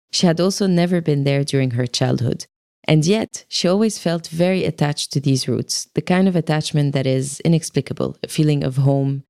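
A woman hosting a podcast, reading an introduction and asking questions in a clear, measured way. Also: sits low, warm tone. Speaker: podcast host. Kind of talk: interview and scripted introduction.

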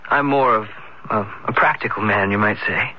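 An actor playing a practical, gently concerned friend, reasoning in a measured American radio drama style. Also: male voice, fairly low pitch, close to an everyday voice. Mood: reasonable, encouraging. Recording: muffled vintage radio drama recording.

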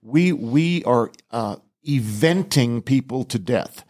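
A man's voice: mid-range voice, expressive, slow pace, darkish tone. An older man who leads a ministry, a studio guest talking in a playful but earnest way. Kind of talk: casual interview chat.

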